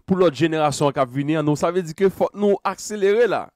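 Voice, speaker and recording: A man with a Haitian accent hosting a political talk, chiming in with quick agreement and stammered restarts. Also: fairly high pitch, darkish tone, no room sound, clean studio sound.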